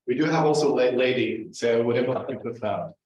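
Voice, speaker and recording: A middle-aged man from Palestine with a Palestinian Arabic accent, giving an informal academic talk in a halting, conversational way. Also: medium pitch, a little mellow, slight background noise. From Gaza, Palestine. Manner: conversational, halting, frequently checks in with "right?" and "like". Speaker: professor, invited speaker. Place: indoor lecture room. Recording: very echoey room, distant muffled mic.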